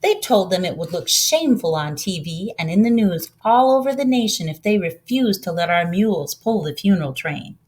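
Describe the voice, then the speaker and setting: A woman voicing a proud, gentle older woman who tells her story in an earnest, unhurried way, as in a storybook. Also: on the low side, animated, natural voice. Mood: solemn pride.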